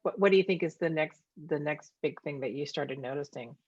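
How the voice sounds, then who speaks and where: A woman's voice: low voice, fairly quick, darkish tone, husky, no room sound, muffled recording. A woman hosting a video call, prompting guests with encouraging questions. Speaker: host of a testimonial call. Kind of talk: casual video call interview.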